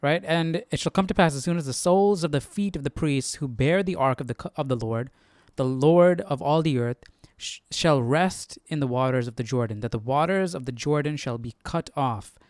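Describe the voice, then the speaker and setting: A man's voice: fairly high pitch, moderate pace, darkish tone, no echo, clean studio sound. A man hosting a Bible study, explaining casually and posing questions as he goes. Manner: explanatory, asks himself questions. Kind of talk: casual live study commentary.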